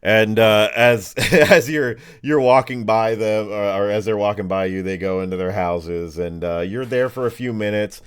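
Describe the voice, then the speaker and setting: A man's voice: on the low side, normal speed, few pauses, clear, resonant tone. A man narrating a tabletop game as game master in a loose, conversational, improvised way, sounding easily amused.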